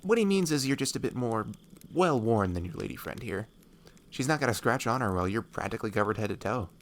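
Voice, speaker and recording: A man voicing a short, cheeky young nephew among travelers, chatty and excitable, in a fantasy audio roleplay. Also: on the low side, fast talker, a little hiss or hum. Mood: blunt, teasing.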